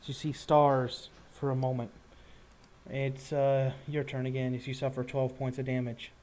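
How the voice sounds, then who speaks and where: A man's voice: mid-range voice, monotone, moderate pace, a little mellow. A man, improvising combat narration as game master of a tabletop roleplaying game.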